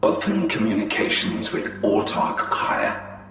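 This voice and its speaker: Slow, echoing, scheming alien elf. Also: male voice, lowish voice, sing-song, fairly bright tone. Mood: grave, scheming. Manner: measured, formal, sly. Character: cunning, haughty male alien elf spymaster, coldly scheming. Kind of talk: video game cutscene acting. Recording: cavernous long reverb, distant, faint music.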